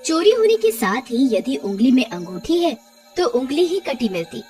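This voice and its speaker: High, expressive female story narrator. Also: normal speed, soft background music. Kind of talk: scripted horror story narration for cartoon.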